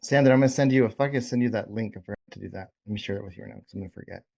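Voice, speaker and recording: A man with a lowish voice leads a group coaching call. He talks informally and encouragingly, checking in with people as he goes. The audio is dull and lo-fi, as on a video call. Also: racing, creaky, warm tone.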